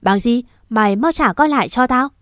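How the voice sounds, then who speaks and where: A woman's voice: on the high side, animated, normal speed, a bit bright, no echo, studio quality. A woman voicing a cruel, scheming wife who snarls her words through gritted teeth. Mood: spiteful.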